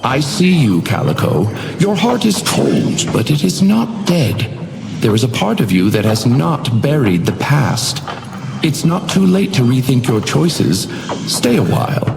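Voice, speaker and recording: An actor voicing a genteel, sinister hotel doorman in a video game, taunting guests in a measured, theatrical monologue with silky menace. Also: mid-range voice, sing-song, gravelly, noisy background. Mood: coolly inviting. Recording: echoing voice over music. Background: music.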